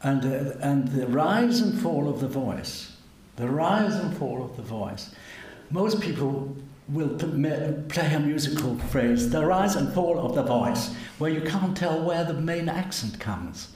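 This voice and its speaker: A man's voice: on the high side, lively intonation, measured pace, few pauses, a little mellow. An older man, a cellist, musing conversationally and self-deprecatingly, often ending with tag questions. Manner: musing, asks tag questions, chuckles at himself. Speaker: cellist and guest. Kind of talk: conversational interview. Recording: roomy event recording with faint hum.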